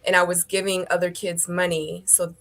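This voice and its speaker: A woman's voice: lowish voice, little pitch movement, normal speed, a bit bright. A woman in her thirties, born in South Korea with Korean as her first language, raised in the United States, telling her story in a casual interview with many ums and easy laughs. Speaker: interview guest.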